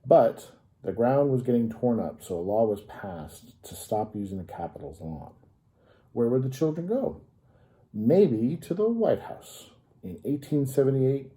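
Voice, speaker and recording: An American man reading a history story aloud to children, pausing to add simple informal explanations. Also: medium pitch, warm tone, poor audio. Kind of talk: read-aloud storytelling with informal asides to young listeners.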